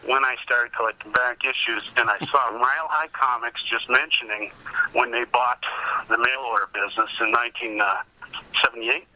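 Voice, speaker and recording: A man in his fifties chatting casually as an interview guest, telling anecdotes in an animated way and quoting himself over a dull call connection. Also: mid-range voice, moderate pace. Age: 50s. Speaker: podcast guest, comic collector.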